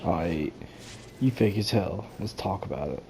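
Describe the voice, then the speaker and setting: A man's voice: low-pitched, a little slow, vocal fry. A man giving casual, slangy, offhand commentary as he plays a video game. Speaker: gamer, playthrough host. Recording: noisy, game ambience behind.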